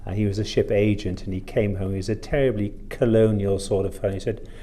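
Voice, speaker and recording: A man's voice: fairly low pitch, fairly even intonation, fast talker, mellow. A man in his sixties from Wales, telling stories conversationally as a podcast guest, with courteous, old-fashioned phrasing. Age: 60s. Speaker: podcast guest, shipping consultant. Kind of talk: conversational storytelling.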